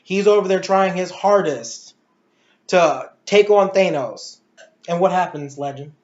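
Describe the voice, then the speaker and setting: A man's voice: high-pitched, unhurried, a little mellow. A man giving casual, opinionated film commentary in an emphatic, ranting way full of rhetorical questions. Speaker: movie reviewer. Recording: muffled, echoey room recording.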